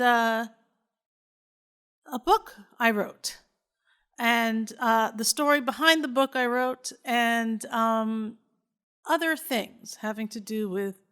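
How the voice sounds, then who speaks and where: A middle-aged woman hosts a podcast on her own, talking at an unhurried pace. Her voice is bright and clean, and she rambles casually with plenty of fillers. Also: fairly high pitch, smooth. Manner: chatty, rambling, many fillers. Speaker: podcast host. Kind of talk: casual solo podcast chat.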